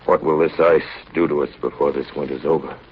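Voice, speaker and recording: Authoritative naval officer, very low voice, grave clipped delivery, polar radio play, dull vintage recording. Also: male voice, fairly even intonation, brisk. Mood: grave, weary. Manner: grave, clipped log-style narration, firm in dialogue. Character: steady, authoritative ship's chief officer narrating polar ordeal. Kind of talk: 1930s radio drama acting. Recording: dull, lightly noisy 1930s radio recording.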